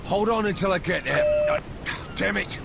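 An actor playing a cocky, foul-mouthed gang boss in a video game, blunt and sarcastic. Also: male voice, high voice, normal speed, creaky, heavy background noise. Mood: irritated, defiant. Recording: loud music behind.

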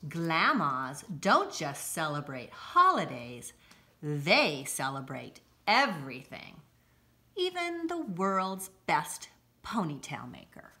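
A middle-aged woman reading a children's picture book aloud, deliberately and with expressive emphasis, recorded indoors a short distance from the camera. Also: deep voice. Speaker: school read-aloud presenter. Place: indoors, home-like room with armchairs.

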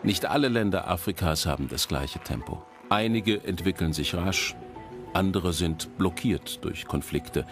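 A man's voice: low voice, unhurried. A man voicing an enthusiastic statistician, explaining directly to viewers in a documentary lecture voice-over. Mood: enthusiastic, explanatory. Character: enthusiastic male statistician presenting global data. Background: music.

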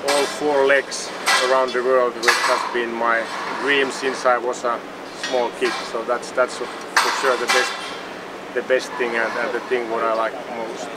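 A Finnish-accented man in his forties talks keenly about sailing, stumbling and doubling words as he looks for the next one. His pitch is middling and steady. Loud outdoor noise sits behind him. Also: unhurried. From Finland. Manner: repeats words, searching, enthusiastic. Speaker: sailing crew member. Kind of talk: self-introduction to camera. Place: outdoors on a yacht in a marina.

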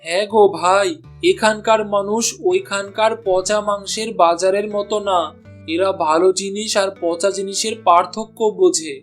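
An honest, hard-up village butcher and husband from a cartoon, acted by a man. He talks humbly and earnestly, with soft music playing behind him. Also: high voice, fairly even intonation, normal speed, natural voice. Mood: grateful. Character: honest, downtrodden village meat seller, a husband. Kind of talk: Bengali cartoon dialogue.

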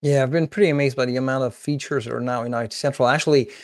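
A man's voice: mid-range voice, fairly even intonation, very fast, a little mellow, dry recording, clean studio sound. A man in his forties hosting a video call interview, asking brief, encouraging questions from a home office. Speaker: host. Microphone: video call webcam.

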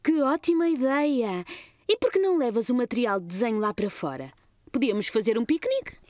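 Kind mum, high animated voice, encouraging, European Portuguese accent, children's cartoon. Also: female voice, brisk, no echo, clean studio sound. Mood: gentle, encouraging. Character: kind, encouraging young mother.

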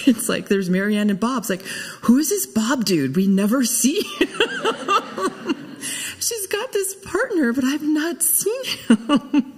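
A middle-aged woman giving an informal, conversational personal tribute at a lectern microphone, telling stories in an animated way. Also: fairly high pitch, slightly rough. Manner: conversational, animated storytelling, often chuckling. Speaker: eulogy speaker. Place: church sanctuary, indoors. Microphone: gooseneck lectern microphone. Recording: reverberant hall.

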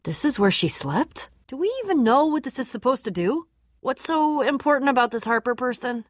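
A woman's voice: sits high, expressive, a little fast, no room sound, studio quality. An actress playing a tough, guarded young female adventurer in a video game, casual, candid and dryly sarcastic. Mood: earnest, subdued. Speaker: game voice actor. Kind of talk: video game character dialogue.

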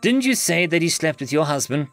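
A man voicing a sharp alien warlady. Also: low-pitched, sing-song, a little fast, soft background music, voice pushed up. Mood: hostile. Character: tough wary female alien warlord.

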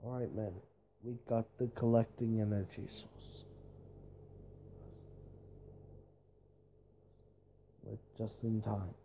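A man's voice: lowish voice, creaky, mellow, slight background noise. Man playing an urgent army commander, very muted tone. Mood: grave. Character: urgent male army commander barking orders at troops. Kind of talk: improvised amateur game-style battle voice lines.

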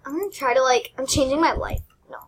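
Young woman, squeaky and high, rambling and giggly, self-mocking game channel host. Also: quick pace. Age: teens. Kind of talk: casual gameplay commentary.

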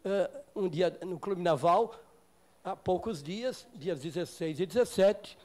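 An older man with a Brazilian accent giving an improvised health lecture, emphatic and halting, often repeating and rephrasing himself. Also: high-pitched, darkish tone. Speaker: lecturer, doctor. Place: meeting room with projector screen, indoors.

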